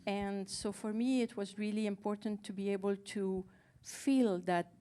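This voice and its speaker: Mellow hesitant woman, native Farsi speaker. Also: medium pitch, fairly even intonation, measured pace. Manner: hesitant, searching for words. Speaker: Farsi-speaking co-translator on a panel. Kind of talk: casual panel talk.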